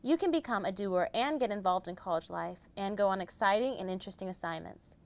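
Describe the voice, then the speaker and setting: A woman's voice: medium pitch, quick pace. A young woman, a student newspaper editor, giving a scripted promotional presentation. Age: young adult.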